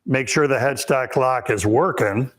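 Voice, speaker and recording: A man with a raspy, hoarse voice, hosting a live woodworking Q&A and explaining techniques step by step in a shop, with frequent ums. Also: mid-range voice, measured pace, a bit bright. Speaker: woodworking instructor and host.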